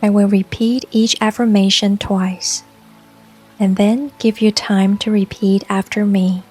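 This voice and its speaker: A woman narrating a scripted guided meditation in a calm, soothing, unhurried way, with long pauses between phrases. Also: mid-range voice, slightly rough. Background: soft music and rain sounds.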